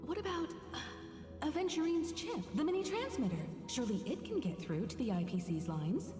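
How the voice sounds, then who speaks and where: An actress playing a composed woman crew leader in a video game, measured and anxious beneath her calm. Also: high voice. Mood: anxious, restrained. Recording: echoey long reverb, music behind.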